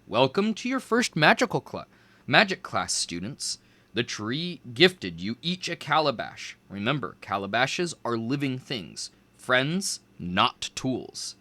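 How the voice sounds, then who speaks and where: A man reading aloud, voicing a kind woman teacher who encourages her young students, raising his pitch for her lines. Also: bright, forceful tone.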